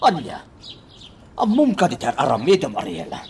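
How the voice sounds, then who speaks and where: An actor playing a worried, agitated husband in a radio drama, incredulous and emphatic. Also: male voice, a little high, wildly swooping pitch, normal speed, darkish tone, distant mic, slight background noise. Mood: alarmed. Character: agitated, worried husband questioning his wife.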